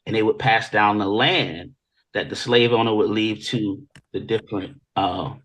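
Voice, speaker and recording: A man's voice: fairly low pitch, moderate pace, a bit bright, low-quality recording. An American man from Virginia, speaking casually and conversationally as an interview guest telling stories. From Virginia Beach, Virginia, United States. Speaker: interview guest, music industry veteran.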